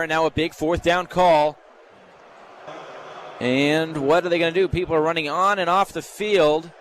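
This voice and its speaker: American guy doing radio play by play, higher voice, clipped phrases, stadium noise behind him. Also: fairly bright tone. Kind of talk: live play-by-play sports commentary. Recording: noisy live stadium radio broadcast. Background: stadium ambience.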